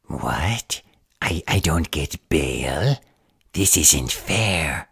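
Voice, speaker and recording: A man playing a sullen gremlin villain in a fantasy audio drama, with a husky, breathy rasp and a whiny, defiant way of talking. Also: very deep voice, expressive, extremely slow, fairly bright tone, dry recording, clean studio sound, heavily acted. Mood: indignant protest. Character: sullen, guilty male gremlin stalker in custody.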